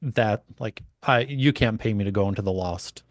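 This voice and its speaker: A man's voice: fairly low pitch, moderate pace, no room sound, studio quality. A man from chatting casually, telling stories and swearing now and then. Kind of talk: casual chat.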